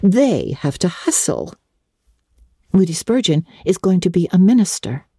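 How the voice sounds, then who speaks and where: An earnest, imaginative schoolgirl played by a woman chatters away in a storybook reading. Her pitch swoops expressively as she delivers opinions with dramatic flourish. The sound is close and dry. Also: very animated, a little slow, mellow, slightly rough, no room sound, heavily acted. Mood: earnest, chatty. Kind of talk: dramatic audiobook reading of classic novel.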